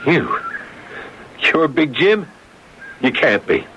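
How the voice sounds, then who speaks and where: A man's voice: a little high, very animated, takes it slowly, pushed and bright, a little hiss or hum, full character voice. A man acting in a radio drama as a bitter, wry former employee turned self-styled healer, speaking theatrically. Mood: bitter, mocking. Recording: dull lo-fi radio recording.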